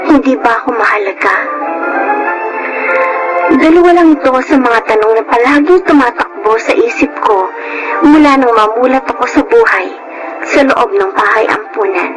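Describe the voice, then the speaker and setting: A high, plaintive teenage orphan girl, played by a woman, speaks with timid politeness and a longing to be loved. It is emotional Filipino radio drama, with music clearly underneath. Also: moderate pace, long pauses, close to an everyday voice, rough recording. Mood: sad, reflective. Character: sad sixteen-year-old orphan girl, timid and longing for love.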